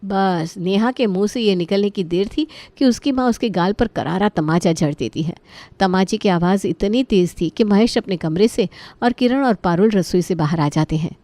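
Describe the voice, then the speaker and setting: A woman's voice: mid-range voice, normal speed, few pauses, mellow, no room sound. Female storyteller narrating a scripted tale.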